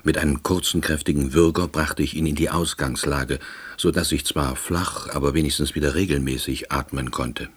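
A man's voice: extremely low pitch, steady tone, normal speed. An actor playing a wry, middle-aged former official, telling his own story in dry, wordy scripted narration. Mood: deadpan, wry. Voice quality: husky. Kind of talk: scripted first-person narration.

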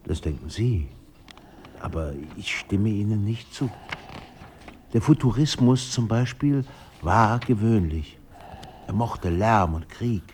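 A restless intellectual in a literary radio play. His voice is fairly low, his delivery polite and measured but contrary. Faint noise sits behind him. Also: animated, darkish tone. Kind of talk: literary radio drama dialogue.

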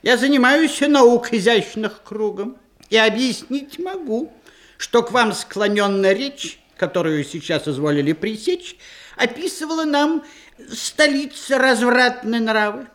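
Stuffy know-it-all country gentleman, high pitched, declaims everything, stagey period comedy. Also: animated, unhurried, exaggerated voice. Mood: self-important. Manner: pedantic, officious, declaiming. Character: pompous, self-important provincial would-be scholar uncle. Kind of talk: theatrical period verse comedy, radio play.

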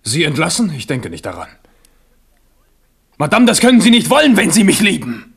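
A man's voice: on the high side, wildly swooping pitch, normal speed, vocal fry, breathy edge, full character voice. An actor playing a headstrong, passionate king in a radio drama, with a commanding and impulsive delivery. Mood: pleading, agitated.

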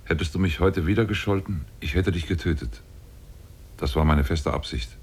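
An actor playing a powerful, lovestruck and possessive demon in a 1950s German radio play, speaking solemnly. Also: male voice, moderate pace. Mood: suspicious, menacing. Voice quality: very deep, husky.

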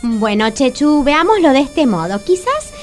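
A romantic, eager woman on a date in a radio comedy. Her voice is very high, and her manner is affectionate, flowery and coaxing. Light music plays behind her. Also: very animated, measured pace. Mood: patient, coaxing. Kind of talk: comic radio drama acting.

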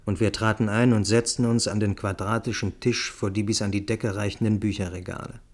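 Guy with a lowish voice, measured precise narrator reading for an old-school literary radio drama. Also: little pitch movement.

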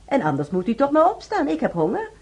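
A woman's voice: high-pitched, huge pitch swings, quick pace, a little mellow, heavily acted. A woman playing a young housemaid, determined and insistent, in a Dutch radio drama. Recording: faintly noisy radio play recording.

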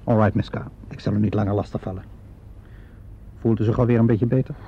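An actor playing a calm, probing police inspector in a Dutch radio play, questioning politely and methodically. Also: male voice, fairly low pitch, fast talker, gravelly, slight background noise. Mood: measured, persistent. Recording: dull, lo-fi old radio recording.

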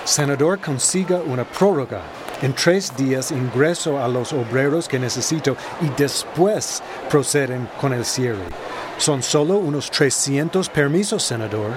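A man's voice: mid-range voice, a little slow, background noise, lightly acted. An actor playing a rich, cynical, pushy businessman in a radio drama, insistent and calculating. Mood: pressing.

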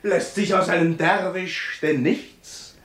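An actor playing a proud, blunt, jocular dervish in a 1950s German radio play, bantering in a declamatory way. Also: male voice, high voice, slow, a bit bright. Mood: bantering, boastful. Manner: bantering, declamatory, rhetorical questions. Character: proud, jocular dervish turned royal treasurer, male, blunt. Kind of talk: 1950s German radio play acting, classical verse drama. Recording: 1950s radio drama recording.

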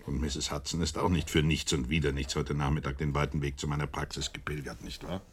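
An actor playing a sceptical, slightly exasperated Victorian doctor in a German radio play, husky and deliberate in his dry delivery. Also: a bass voice, little pitch movement. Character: Holmes's loyal doctor friend, Victorian, sceptical, a little exasperated.

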